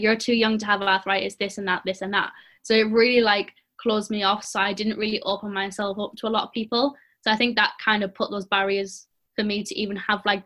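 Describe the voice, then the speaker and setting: Girl early 20s, chatty, says like a lot, mid pitch. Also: a bit bright. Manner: chatty, lots of fillers like "like" and "you know". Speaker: panel guest. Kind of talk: casual chat.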